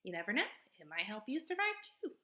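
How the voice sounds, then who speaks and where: A woman's voice: mid-range voice, sing-song, a little fast, pushed and bright. A woman voicing a chatty female possum in a storybook, dramatic, boastful and easily startled. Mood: enthusiastic. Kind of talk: storybook character read-aloud.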